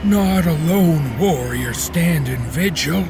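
A man's voice: on the high side, expressive, slow, gravelly, voice pushed up, heavily acted. A man voicing a frightened, hunted frontier fighter, speaking in tense, rising bursts over music. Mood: dread-filled.

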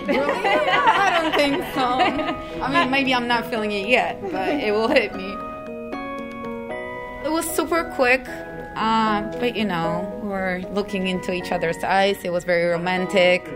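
Bubbly bride over a loud crowd. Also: female voice, a little high, animated, measured pace, over loud music. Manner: bubbly, effusive. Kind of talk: casual interview answers.

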